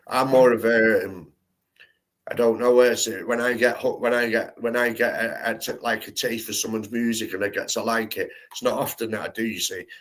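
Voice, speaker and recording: A man's voice: on the low side, fairly even intonation, moderate pace, fairly bright tone. A man hosting a live stream, chatting casually in slangy, rambling talk full of restarts.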